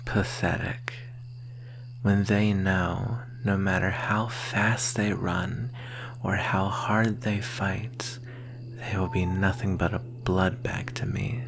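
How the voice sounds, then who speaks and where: Low, very slow, creaky vampire performer acting. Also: little pitch movement, a little hiss or hum, exaggerated voice, rough recording. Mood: smug, curious. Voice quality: breathy, often dropping to whisper, creaky. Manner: slow, teasing, predatory drawl. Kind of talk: ASMR roleplay, slow close monologue.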